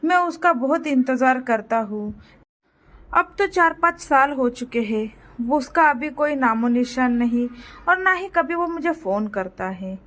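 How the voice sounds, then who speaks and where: An elderly village grandfather acted out by a woman in a cartoon moral tale, pitched high. He worries, laments and pleads, saying things over again. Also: lively intonation, a little slow, a bit bright. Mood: sorrowful. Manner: lamenting, pleading, repeats himself. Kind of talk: moral story cartoon dialogue.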